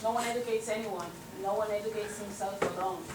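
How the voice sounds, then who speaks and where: A woman leading a workshop, first guiding an imagination exercise, then speaking haltingly with many fillers. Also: mid-range voice, steady tone. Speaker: workshop presenter. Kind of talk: guided imagery then academic talk. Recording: echoey room from far off, loud noise.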